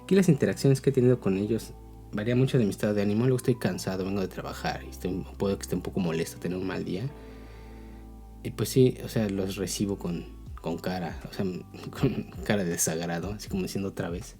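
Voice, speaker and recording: A man's voice: low voice, quick pace, dark tone, soft background music. A man with a Mexican accent giving a casual, explanatory opinion talk as a video blogger, often checking in with tag questions.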